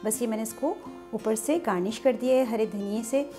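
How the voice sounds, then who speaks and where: A woman's voice: on the high side, normal speed, music in the background. A woman hosting a cooking show, explaining each step chattily and often praising the dish. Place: home kitchen at the stove.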